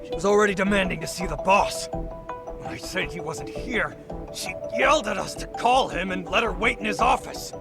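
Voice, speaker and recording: A man voicing a rattled, foul-mouthed yakuza underling in pain in a video game, with a gravelly, agitated delivery. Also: high voice, normal speed. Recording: loud music behind the voice. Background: loud music.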